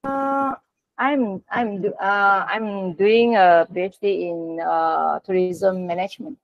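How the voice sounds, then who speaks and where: A woman with a Malaysian accent talks slowly, with a creaky edge to her voice. She is a polite, halting moderator on an online call, and the sound is dry and somewhat dull. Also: mid-range voice, fairly even intonation, no echo. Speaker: session moderator. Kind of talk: informal online meeting talk. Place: online video meeting.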